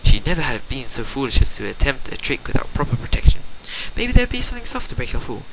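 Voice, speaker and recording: A man reading fan fiction as a dramatic narration, theatrical and swinging between hushed and forceful, on an amateur home recording. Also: medium pitch, sing-song, fast talker, noisy background. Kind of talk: dramatic storybook-style narration.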